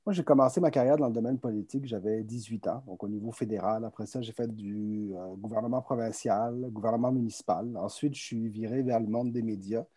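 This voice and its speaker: A man's voice: fairly low pitch, brisk, few pauses, breathy edge, dry recording. Man with a half-Québécois, half-French accent. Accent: mixed Québécois and French. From Chile, raised in France. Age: around 40. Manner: animated, repeats words while thinking. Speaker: guest entrepreneur. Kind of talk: relaxed conversational storytelling. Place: home office on a video call. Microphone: video call.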